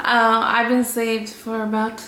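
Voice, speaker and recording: A woman co-hosting a casual couple Q&A at home, relaxed and a bit hesitant, with many fillers. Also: fairly high pitch, unhurried, reverberant, a little hiss or hum. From Orlando. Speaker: co-host of family channel. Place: home room.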